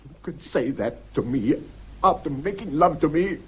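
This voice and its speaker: An actor with a British accent playing a weak, sentimental schoolmaster in a period radio drama, impassioned, theatrical and prone to stammered repeats. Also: male voice, a little high, expressive, normal speed, darkish tone, slight background noise, natural voice, low-quality recording. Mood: indignant. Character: weak, sentimental schoolmaster, highly strung and wounded.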